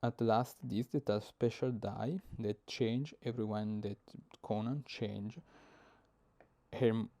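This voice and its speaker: A man's voice: lowish voice, fairly even intonation, gravelly, dark tone. A young man with an Italian accent, explaining board game rules in hesitant English, often stopping to search for words. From Italy. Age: young adult. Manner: halting, searching for words, many fillers.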